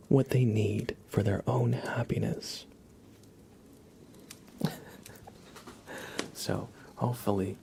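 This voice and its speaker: A man hosting an ASMR livestream in a soft, breathy half-whisper with a creaky low voice, playful and unhurried, close on the microphone. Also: slight background noise. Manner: playful, gently joking, unhurried. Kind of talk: ASMR tarot card reading for viewers.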